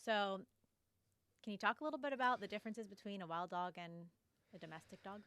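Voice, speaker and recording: Female podcast host, young, bright and crisp voice, bubbly chatty delivery. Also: mid-range voice, lively intonation, brisk, dry recording, studio quality. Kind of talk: casual podcast chat.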